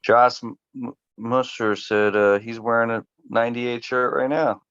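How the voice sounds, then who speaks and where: A man's voice: medium pitch, fairly even intonation, measured pace, a bit bright, smooth, no room sound, lo-fi sound. A man in his thirties chatting casually. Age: 30s. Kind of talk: casual chat.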